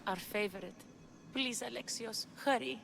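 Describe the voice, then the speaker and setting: A woman's voice: a little high, moderate pace, vocal fry, background noise. A woman playing a devoted, anxious lover in a video game, earnest and urgent. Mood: longing. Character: devoted, anxious woman searching for her lost love. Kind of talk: dramatic video game acting.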